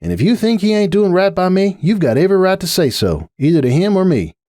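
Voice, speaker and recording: Casting: man playing folksy cartoon farm mare, raised pitch, sincere and steadfast. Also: very animated, quick pace, dark tone, no room sound, clean studio sound. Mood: confident. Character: earnest, patient young female farm pony, folksy and steadfast. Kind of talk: dramatic fan fiction reading.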